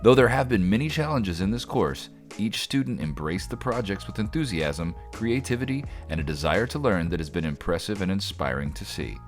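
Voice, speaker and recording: Deep-voiced, lively male narrator over music. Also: brisk, hardly any pauses. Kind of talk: scripted promotional narration. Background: music under the voice.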